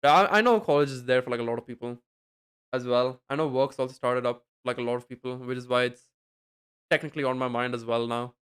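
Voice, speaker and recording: A man's voice: medium pitch, quick pace, a bit bright, clean tone. A young man streaming late at night, chatting loosely with his viewers, full of fillers and casual swearing. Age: young adult. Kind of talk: casual stream chat reading chat.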